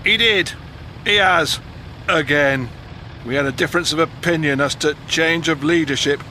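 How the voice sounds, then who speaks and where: An actor playing a blunt, canny 1910s newspaper editor with a Northern English accent, gruff and teasing, in an audio drama. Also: male voice, a little high, moderate pace, bright, forceful tone. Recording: noisy moving-car ambience behind.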